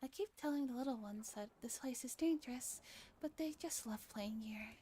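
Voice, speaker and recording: A woman's voice: on the high side, normal speed, warm tone, a little hiss or hum, voice pushed up, lightly acted. A woman voicing a caring, responsible schoolgirl with an earnest, slightly fussy manner, in a visual novel reading. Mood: explaining. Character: caring, responsible schoolgirl minding younger children.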